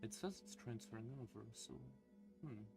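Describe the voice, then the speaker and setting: A man's voice: medium pitch, lively intonation, normal speed, gravelly. A man livestreaming as a storyteller, with a casual, self-deprecating way of talking. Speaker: streamer, storyteller. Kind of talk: livestream ramble and atmospheric second-person horror narration. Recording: ambient music behind the voice. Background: creepy ambient music.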